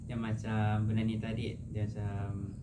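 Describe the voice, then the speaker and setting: A man working as a counselor, speaking calmly and reflecting the client's words back to her. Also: on the low side, a little slow. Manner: calm, reflective, paraphrasing back. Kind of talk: counseling session. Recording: steady background noise.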